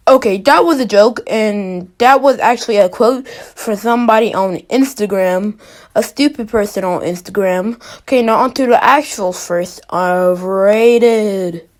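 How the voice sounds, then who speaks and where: A woman with a fairly high voice hosts a ranking video. She talks informally and emphatically, delivering opinionated commentary as she works through her list. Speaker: host of a fan ranking video.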